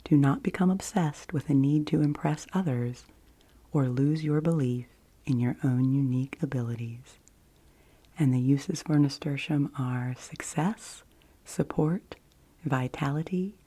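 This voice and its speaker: Hushed, slow-whispering ASMR woman. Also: deep voice, husky. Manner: slow, gentle, soothing delivery with frequent whispering. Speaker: ASMR video host. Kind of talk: soft-spoken and whispered ASMR.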